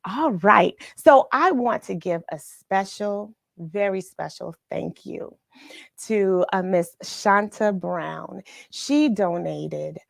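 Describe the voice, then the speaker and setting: A middle-aged American woman hosting a children's read-along livestream, chatting in an encouraging, unhurried way straight to her viewers. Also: mid-range voice, animated, vocal fry, no echo, clean studio sound. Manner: encouraging, unhurried, addresses listeners directly. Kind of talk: chatty livestream to viewers, greeting them by name.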